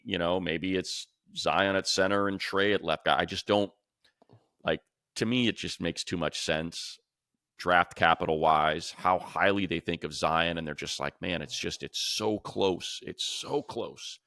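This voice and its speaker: A man's voice: low-pitched, unhurried, fairly bright tone, no echo, studio quality. A man hosting a sports talk show, casually analysing in an emphatic, conversational way and repeating points for stress.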